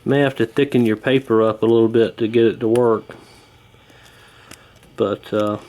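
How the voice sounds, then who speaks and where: Folksy guy doing a casual fix-it video, mid pitch voice, talks as he works, no rush. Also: steady tone, normal speed, mellow. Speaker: host of a radio repair channel. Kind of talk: casual hands-on repair walkthrough.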